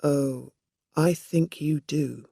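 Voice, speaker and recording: Storybook woman, performed by a narrator: shrewd, assertive, measured delivery, dry recording. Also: mid-range voice, steady tone, creaky, dark tone, husky, no echo, heavily acted. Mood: shrewd, confident. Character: Dorothy Burns, assertive accusing woman, shrewd and controlled.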